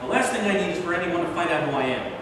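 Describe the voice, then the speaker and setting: Genial, commanding statesman in disguise, acted by a man; high, lively delivery, stage reading in a boomy hall. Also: rapid-fire, close to an everyday voice. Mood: commanding, assured. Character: incognito American president, genial, talkative, commanding older man. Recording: echoey hall from far off, noisy.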